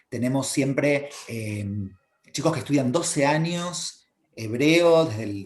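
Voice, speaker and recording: A middle-aged Argentine man with an Argentine Spanish accent, a language-teaching expert, explaining at length in an interview with many fillers and restarts. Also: medium pitch. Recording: roomy echo.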